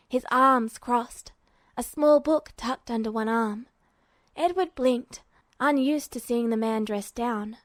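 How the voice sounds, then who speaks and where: A woman's voice: fairly high pitch, no room sound. A woman narrating a scripted story as an audiobook-style reader. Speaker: podfic narrator.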